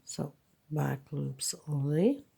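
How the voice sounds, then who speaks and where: A woman's voice: deep voice, animated, takes it slowly, gravelly, dark tone, husky. A congested woman teaching a crochet tutorial, counting stitches aloud and checking in with frequent okays. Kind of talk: hands-on tutorial narration.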